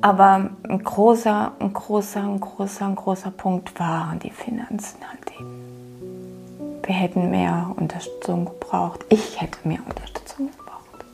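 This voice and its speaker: A middle-aged woman speaking candidly and reflectively in an interview, often pausing and restarting her sentences. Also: mid-range voice, steady tone, vocal fry. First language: German. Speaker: interviewee, children's ministry worker. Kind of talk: personal interview testimony. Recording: music playing underneath.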